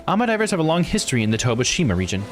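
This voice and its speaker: A man's voice: fairly high pitch, very animated, rapid-fire, over music, voice pushed up. A man voicing an earnest, knowledgeable expert who formally explains local lore in a visual novel game. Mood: informative. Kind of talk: visual novel dialogue read aloud.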